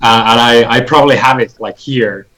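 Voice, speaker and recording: A man playing a tabletop game, describing his character's actions haltingly as he thinks aloud. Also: medium pitch, fairly bright tone, poor audio.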